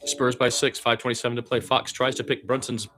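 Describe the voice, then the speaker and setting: A man's voice: mid-range voice, little pitch movement, a little fast, pushed and bright, faint music behind. A man giving conversational radio play-by-play of a basketball game, mixing running calls with opinionated asides. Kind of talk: live basketball play-by-play commentary.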